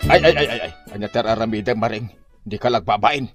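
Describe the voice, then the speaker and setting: A man's voice: fairly low pitch, fast talker, loud music behind the voice, lightly acted. A man playing a grumbling, put-upon old Filipino husband with an Ilocano accent in a broad comic drama. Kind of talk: broad comic radio-style drama acting.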